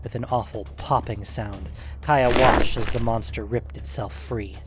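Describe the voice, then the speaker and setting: A man narrating a scripted fantasy story in a measured, suspenseful, dramatic audiobook manner. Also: on the low side, steady tone, loud music behind the voice.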